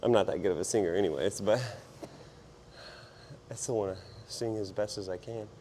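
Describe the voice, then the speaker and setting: A man with a hoarse, partly lost voice talks casually and self-deprecatingly as a fitness vlogger while he exercises. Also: on the low side, moderate pace, mellow, slight background noise. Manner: informal, self-deprecating, rambling while exerting himself. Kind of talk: casual workout vlog chat while exercising.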